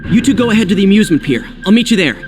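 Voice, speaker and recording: Cartoon game casting: wisecracking boy hero, high lively voice, brash and eager. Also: very fast, music in the background. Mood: brisk, determined. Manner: wisecracking, informal. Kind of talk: animated-series style game cutscene acting.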